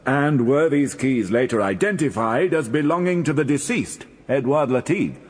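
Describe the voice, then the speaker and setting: Actor as a probing British barrister in reverberant hall. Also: male voice, mid-range voice, lively intonation, moderate pace, lightly acted. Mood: probing, formal. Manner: formal, probing, faintly condescending. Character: probing, faintly condescending British barrister questioning a witness. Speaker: courtroom barrister. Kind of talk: radio courtroom drama acting.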